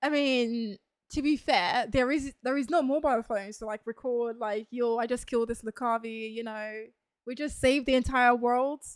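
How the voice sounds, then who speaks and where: A woman's voice: a little high, steady tone, normal speed, no echo. A woman live streaming a game, giving casual, informal, questioning reaction commentary. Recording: dry, game music faintly behind. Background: faint game music.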